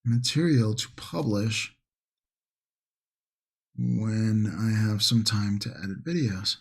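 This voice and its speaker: Male hobby streamer, slow and husky, casual rambling delivery to an online chat, musing as he works. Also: fairly low pitch. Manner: unhurried, rambling, musing to himself. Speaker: livestream host, miniature painting hobbyist. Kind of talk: casual chat to livestream viewers while painting.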